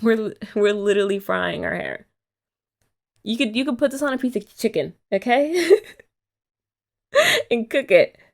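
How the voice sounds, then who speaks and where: A woman's voice: a little high, measured pace, creaky, pauses often, darkish tone. A young woman chatting playfully and teasingly in a girlfriend roleplay ASMR. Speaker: ASMR creator.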